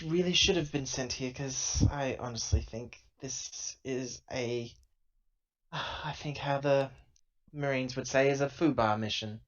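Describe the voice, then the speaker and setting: A man's voice: mid-range voice, fairly bright tone, lightly acted. A man playing a weary, dutiful corporate agent in a tabletop sci-fi game, hesitant and resigned as he reasons things out. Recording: dull, lo-fi laptop recording.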